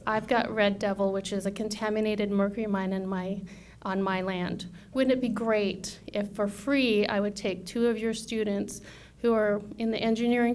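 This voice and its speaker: A woman in her thirties with a soft voice, giving a persuasive conference presentation at a podium microphone in a university room, asking many rhetorical questions. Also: mid-range voice, a little hiss or hum. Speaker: conference speaker.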